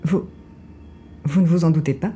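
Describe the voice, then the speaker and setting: An actress playing a mysterious resistance agent in a noir audio drama, speaking formally with cool, polite composure and quiet command. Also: female voice, fairly even intonation, normal speed, slight background noise, natural voice. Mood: calm, insistent. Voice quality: low, dark. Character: mysterious female resistance agent guiding the inspector, coolly composed.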